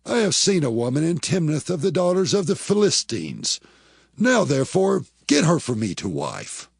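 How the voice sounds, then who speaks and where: Samson, bold gravelly young man. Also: on the high side, sing-song, normal speed, dry recording, clean studio sound, heavily acted. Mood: insistent. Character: bold, headstrong young Israelite strongman Samson. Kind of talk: dramatized Bible audio acting.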